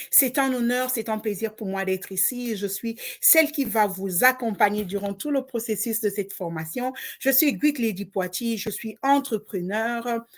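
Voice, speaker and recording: A woman from Congo, an online business coach, giving a motivational course introduction with emphatic, repetitive, preacher-like encouragement. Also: a little high.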